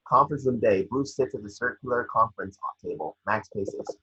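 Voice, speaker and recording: A man's voice: medium pitch, brisk, bright, forceful tone, breathy edge, lo-fi sound. A man reading screenplay scene directions aloud as narrator, stumbling over words now and then.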